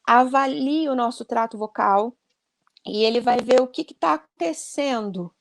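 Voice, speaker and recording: High-voiced woman explaining voice care. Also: moderate pace, vocal fry, dry recording. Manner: earnest and explanatory, many "né?" tags. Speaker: speech therapist guest.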